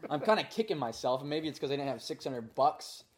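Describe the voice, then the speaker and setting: A man's voice: medium pitch, animated, fairly quick. A young man from Minnesota hosting a casual faith podcast, talking in an earnest, easygoing way with frequent fillers and restarts. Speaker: podcast host.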